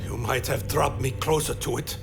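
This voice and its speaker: An actor playing a weary undead vampire wanderer, telling his journey in solemn, literary phrasing for a dark fantasy radio play. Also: mid-range voice, normal speed, gravelly, natural voice. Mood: weary, strained. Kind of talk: dramatic first-person scripted narration, dark fantasy radio play. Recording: music behind the voice.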